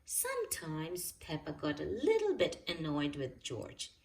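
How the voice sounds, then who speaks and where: Slow, animated woman reading a children's story. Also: low-pitched, far from the mic. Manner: expressive, addresses young listeners directly. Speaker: storybook reader. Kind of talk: read-aloud children's story narration.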